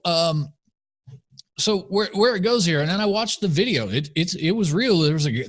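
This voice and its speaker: A man's voice: a little high, dry recording. An American man hosting a podcast in casual talk, with joking, sarcastic asides. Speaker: podcast host.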